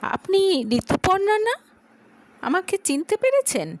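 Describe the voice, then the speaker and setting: A woman's voice: very high-pitched, sing-song, a little slow, no echo, pitched above the performer's own voice, exaggerated voice. A woman voicing a friendly, forward young male stranger with a chatty, familiar manner in an audio story.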